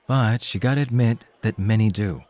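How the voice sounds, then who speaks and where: A man's voice: on the low side, normal speed, a little mellow, dry recording, natural voice. A man playing a young rookie club host in an ASMR roleplay, casual and cheeky, with bravado over self-doubt. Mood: unsure, curious.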